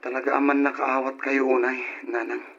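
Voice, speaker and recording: A man's voice: medium pitch, flat delivery, brisk, fairly bright tone. A young Filipino man with an Ilocano accent playing a dutiful, affectionate son in an old radio drama. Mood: earnest, sad. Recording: dull, noisy old radio drama recording.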